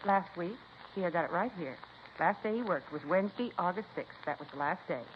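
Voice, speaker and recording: A woman's voice: lowish voice, lively intonation, brisk, close to an everyday voice. An American woman playing a chatty, self-assured supermarket cashier in a 1950s radio drama, folksy and emphatic, repeating herself. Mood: confiding, insistent. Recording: muffled, hissy 1950s radio recording.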